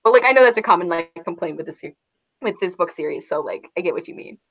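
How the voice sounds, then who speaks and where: A woman's voice: on the low side, lively intonation, fast. Woman just chatting about books, kinda rambly, says like a lot, muffled lo-fi audio. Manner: rambling, frequent "like". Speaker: book discussion guest. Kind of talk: casual chat about books.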